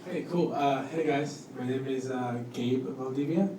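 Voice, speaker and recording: A man's voice: medium pitch, measured pace, distant mic. Man speaking in an echoey, noisy room. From Cuba and Costa Rica. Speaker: guest speaker, product designer. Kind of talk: informal spoken introduction at a panel talk. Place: indoor event room with audience.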